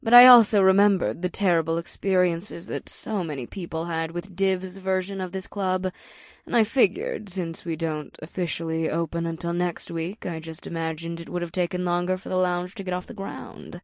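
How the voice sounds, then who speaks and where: A woman's voice: lowish voice, normal speed, dry recording, clean studio sound, close to an everyday voice. An actress playing a confident, teasing succubus businesswoman in a dramatized audiobook.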